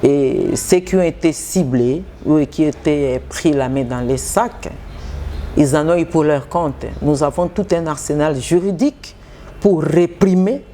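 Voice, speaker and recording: A middle-aged woman, a senior civil-service head answering interview questions in a measured, official way with hesitant fillers. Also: low voice, warm tone, slight background noise. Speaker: secretary general of the public service.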